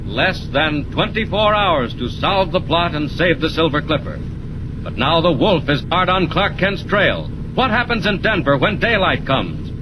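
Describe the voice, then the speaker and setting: A man's voice: on the high side, sing-song, unhurried. A man announcing a radio serial in a dramatic, urgent style. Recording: old radio recording, noisy.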